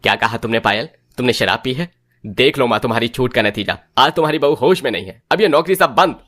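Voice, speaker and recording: A man's voice: medium pitch, animated, fast talker, a bit bright. Man acting a stern, indignant young husband. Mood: shocked, angry. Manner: stern, reproachful.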